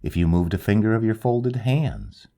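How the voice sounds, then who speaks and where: A man reading a literary ghost story aloud, slow and hushed, lingering over each phrase. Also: expressive, vocal fry. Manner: slow, hushed and lingering, with wide swings of pitch. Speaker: audiobook narrator.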